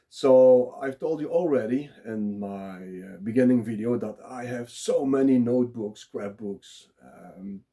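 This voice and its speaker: A man with a Dutch accent, talking live and unprepared as a blogger, pausing often with filler sounds. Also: mid-range voice, warm tone. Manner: halting, many filler sounds. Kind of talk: unscripted solo talk.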